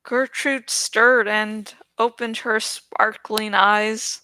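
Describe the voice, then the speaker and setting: A woman narrates a story from a script. Her voice is bright and a little high, and she reads very slowly and expressively, placing each phrase with care. The sound is dry and close. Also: fairly even intonation, dry recording. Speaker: audiobook reader.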